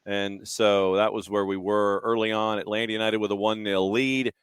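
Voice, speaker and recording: A man's voice: on the low side, little pitch movement, normal speed, smooth, no room sound, clean studio sound. A man hosting a sports show, chatting casually and introducing match clips in a self-deprecating way. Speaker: sports talk show host.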